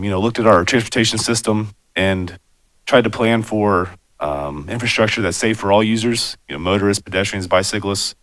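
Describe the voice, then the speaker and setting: A man with a raspy voice, hoarse from a cold, presenting a plan to a city commission in a loose, conversational way with lots of fillers. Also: fairly low pitch, a bit bright, no room sound. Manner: conversational, many fillers and asides. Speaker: bicycle and pedestrian planner presenting. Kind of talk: public meeting presentation.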